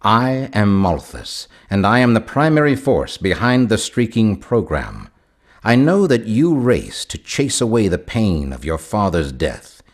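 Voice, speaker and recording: A wealthy, menacing mastermind from a racing video game. His voice is very low and husky, with lively rises and falls. He speaks with grandiose deliberation, coolly manipulating whoever he addresses. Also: a little slow. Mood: coolly manipulative. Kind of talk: scripted video game villain dialogue.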